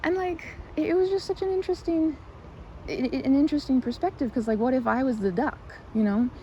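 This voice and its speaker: A woman playing a timid, excitable park regular in an ASMR roleplay, stammering and chattering apologetically in a one-sided conversation. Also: sits high, a little fast, dull audio, slight background noise. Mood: excited rambling. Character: timid, rambling young woman, excitable park regular who loves ducks.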